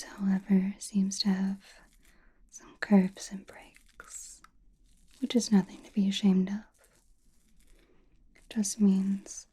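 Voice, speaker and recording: A woman playing a mysterious, attentive sorceress fortune-teller in a whispered ASMR roleplay, speaking softly and deliberately close to the microphone. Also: medium pitch. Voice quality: breathy, mostly unvoiced whisper. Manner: slow, deliberate, soothing with soft pauses. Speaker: ASMR performer.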